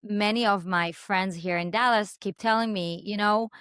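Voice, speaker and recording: A woman's voice: mid-range voice, fairly even intonation, normal speed, fairly bright tone, clean tone, no echo, studio quality. A woman whose first language is Hebrew, hosting a podcast interview over a video call, speaking enthusiastically with lots of fillers. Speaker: podcast host. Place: home in Dallas.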